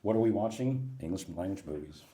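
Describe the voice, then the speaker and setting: A middle-aged man with an American accent chats as an interview guest in a casual, animated way. His voice sits very low with a dark, mellow tone, yet it swings widely in pitch as he acts out the people he quotes. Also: a little fast. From USA.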